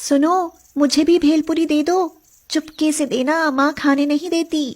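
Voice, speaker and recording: A woman's voice: sits high, steady tone, measured pace, a little mellow, pitched above the performer's own voice. A woman voicing a lovesick, wistful young woman from a rich family in a story. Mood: shy, eager. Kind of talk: animated story character dialogue.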